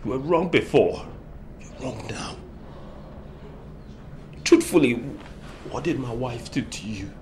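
A man's voice: mid-range voice, lively intonation, takes it slowly, long pauses, a little mellow, a little hiss or hum. A man playing a frustrated young family man. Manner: pleading, angry outbursts. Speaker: husband and son. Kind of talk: film drama acting.

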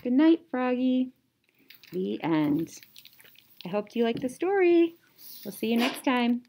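A young woman reading a children's story like a teacher, animated, with playful sound words. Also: fairly high pitch, slow, a little hiss or hum, low-quality recording. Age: young adult. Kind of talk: children's story read-aloud. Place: small indoor classroom or office.

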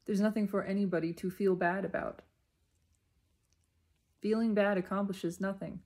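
A woman giving an unscripted, reflective spiritual monologue in deliberate, halting phrases with emphatic repetition. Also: medium pitch, fairly even intonation, darkish tone. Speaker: speaker sharing personal spiritual reflections.

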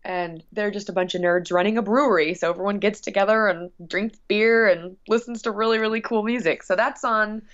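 A woman's voice: medium pitch, brisk, few pauses. Gushing, chatty woman on dull audio. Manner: gushing, excitable, rambling. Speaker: guest musician. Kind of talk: casual, chatty interview.

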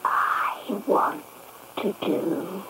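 Menacing controlling woman, radio horror, extremely slow, heavy background noise. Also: on the low side. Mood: quiet menace. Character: menacing, vengeful female, eerily demanding and controlling. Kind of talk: radio horror drama acting. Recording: noisy AM radio cassette, echoey.

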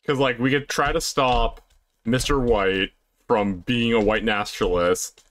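A man's voice: mid-range voice, steady tone, unhurried, a bit bright. A young man chatting casually with friends as he plays through a game. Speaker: gaming video host. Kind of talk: casual let's play chat with friends.

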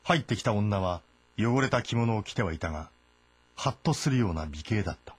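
A man giving measured, solemn scripted narration of a historical tale. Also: on the low side, animated, slightly rough. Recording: narration over background music.

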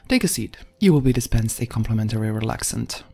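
A woman voicing a calm, bureaucratic government consul in an audio story, with an even, polite, detached tone and a husky voice. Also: a bass voice, fast talker, faint music behind, exaggerated voice.

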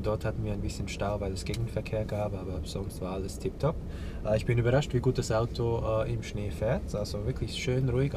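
A young man talking casually to camera from a parked car, thinking aloud with many filler sounds. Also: lowish voice. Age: young adult. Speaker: vlogger. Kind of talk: casual vlog talk to camera. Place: inside a car, parked in snow. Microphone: camera mounted on the dashboard. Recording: noisy car interior.

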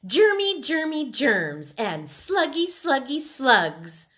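A woman's voice: lowish voice, big pitch swings, extremely slow, bright, forceful tone, exaggerated voice. A woman voicing a playful, bold five-year-old girl in a children's storybook. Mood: singsong glee.

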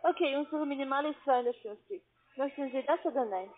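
A woman with a foreign accent and non-native phrasing, giving an insistent sales pitch as a call centre agent. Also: fast talker. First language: not German. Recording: band-limited phone call audio.

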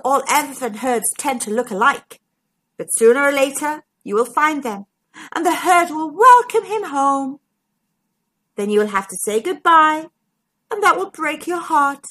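Animated, high-voiced woman reading to children. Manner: animated, emphatic, addressing young children. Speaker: children's storytime host and narrator. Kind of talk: expressive children's picture book read-aloud.

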